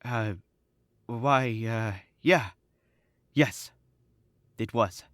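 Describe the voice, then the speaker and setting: A man's voice: medium pitch, extremely slow, fairly bright tone. An actor playing a nervous, gloomy young man in an old-time radio drama, hesitant and evasive.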